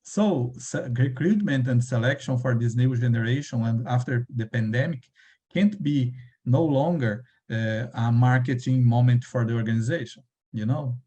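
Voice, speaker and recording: Male panelist, mellow tone, average pitch, hesitant, filler-heavy delivery. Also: normal speed, breathy edge, dull audio. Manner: hesitant, many fillers and restarts. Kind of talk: online panel discussion.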